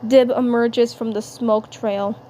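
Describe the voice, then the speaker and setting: A woman narrating a fan fiction script haltingly, often repeating words and phrases. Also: fairly high pitch, fairly even intonation, warm tone. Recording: clear background noise.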